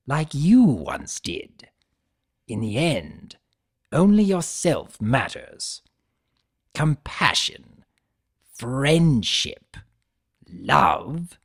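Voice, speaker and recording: Man doing a slow, menacing demon centaur villain. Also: mid-range voice, husky, exaggerated voice. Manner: slow, menacing, drawn-out delivery. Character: fallen male demonic centaur villain, proud, greedy, bitter. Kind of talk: dramatic fan fiction reading.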